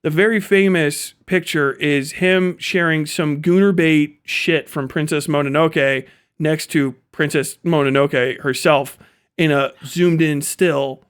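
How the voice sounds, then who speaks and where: Guy streamer ranting casually, smooth clear voice a bit on the high side, blunt and sweary. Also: fairly even intonation, slow pace. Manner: blunt, profane, conversational. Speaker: streamer and commentator. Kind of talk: casual opinionated livestream commentary.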